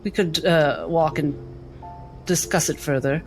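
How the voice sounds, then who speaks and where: Guy doing a skeptical lady scholar in a fantasy tabletop game, polite, tentative, higher pitched, music behind. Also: unhurried, rough recording. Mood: polite, curious. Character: reserved, skeptical woman scholar, politely curious.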